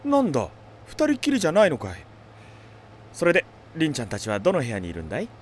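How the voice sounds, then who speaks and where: Lively, doting demon-king dad. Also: on the high side, fast talker, a little hiss or hum. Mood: doting, teasing. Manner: gentlemanly, teasing, theatrical. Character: genial, doting middle-aged demon king father. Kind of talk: Japanese anime audio drama acting.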